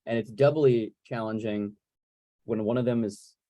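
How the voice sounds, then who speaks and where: A man moderating a casual interview over a video call, choosing his words in a hesitant, measured way. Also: fairly low pitch, steady tone, warm tone, no echo. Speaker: moderator, podcast host.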